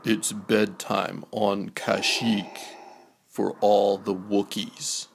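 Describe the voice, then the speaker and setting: Slow, low-voiced male storybook narrator. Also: level tone, creaky, background noise. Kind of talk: scripted children's bedtime story reading.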